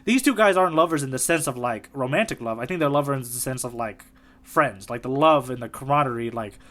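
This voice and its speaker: A man's voice: mid-range voice, animated, fast talker, a bit bright. A man co-hosting a podcast in casual conversation, eager and keeping his partner in the loop with frequent "right?" check-ins. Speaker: podcast co-host. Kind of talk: casual manga discussion chat.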